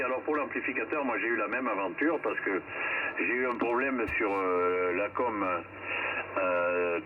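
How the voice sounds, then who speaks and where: A man chatting casually as an amateur radio operator, in a conversational, somewhat hesitant way, over a shortwave link. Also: on the low side. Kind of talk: casual ham radio contact. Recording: narrow-band shortwave radio, clear static noise.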